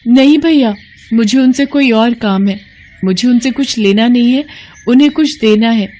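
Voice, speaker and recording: A woman's voice: on the high side, normal speed, mellow, pitched above the performer's own voice, lightly acted. A woman voicing a poor, blind, honest mother in a moral story cartoon, pleading and sincere in an emotional manner. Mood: pleading, earnest. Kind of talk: Hindi animated moral story acting.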